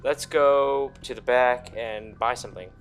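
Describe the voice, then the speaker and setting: A man's voice: mid-range voice, a little slow, soft background music. A man giving casual, sarcastic let's play commentary with plenty of swearing as he plays a video game. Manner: profane, sarcastic. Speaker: gaming video host.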